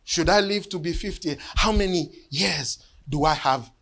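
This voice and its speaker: A man preaches in a voice that sits a little higher than most men's. He tells a personal story earnestly and often drops to a hush for weight. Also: moderate pace, vocal fry. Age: 50s. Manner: earnest storytelling, sinking to hushed tones. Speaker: bishop. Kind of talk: sermon with personal testimony.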